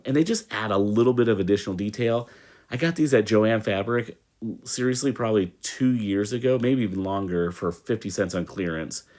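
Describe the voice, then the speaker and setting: Low-pitched man narrating a craft build. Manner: informal, chatty step-by-step explaining. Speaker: host of a toy-making channel. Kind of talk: casual hobby build voice-over.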